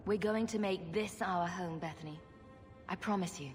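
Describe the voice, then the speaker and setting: An actress playing a direct, protective young heroine and elder sister in a fantasy video game. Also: female voice, on the low side, normal speed, natural voice. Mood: supportive. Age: young adult. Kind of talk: dramatic video game voice acting. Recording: faint music behind.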